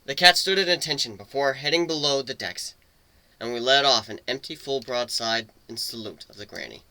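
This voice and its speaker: A man narrates a scripted story in a dramatic reading style. His voice is average in pitch and strikingly bright, and the recording is very dry. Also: moderate pace, no room sound.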